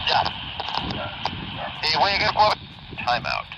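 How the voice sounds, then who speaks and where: A man's voice: fairly high pitch, huge pitch swings, unhurried, gravelly, distant mic. A man with a Chilean Spanish accent in a casual amateur radio exchange, heard through a two-way radio. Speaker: amateur radio operator on a contact. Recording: muffled, very noisy walkie-talkie radio reception.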